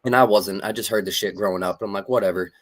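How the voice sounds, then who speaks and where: Low voiced guy from maine, rambling and cussing a lot, chill interview guest. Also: fairly even intonation, fast. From Maine, USA. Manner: laid-back, heavy swearing, rambling. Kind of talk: casual interview storytelling.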